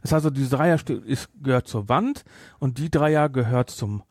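A man's voice: mid-range voice, moderate pace, darkish tone, no echo, clean studio sound. A man with a German accent chatting casually as a podcast co-host, explaining things in a rambling way with frequent self-corrections. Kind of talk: casual film discussion chat.

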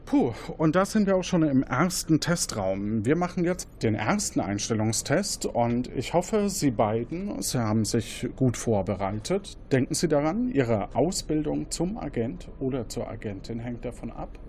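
A man's voice: medium pitch, very animated, normal speed, hardly any pauses, a little mellow, slight background noise. A man hosting an audio quiz show as a training officer, presenting in a semi-scripted, role-playing style.

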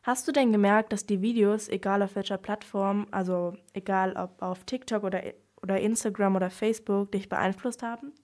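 A woman's voice: mid-range voice, fairly even intonation, moderate pace, hardly any pauses, a little mellow. A female youth radio presenter, asking questions in a scripted style.

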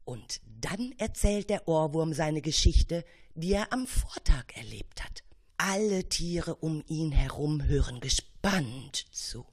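A woman narrating a children's story expressively, with dramatic pauses. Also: deep voice, animated, darkish tone, husky.

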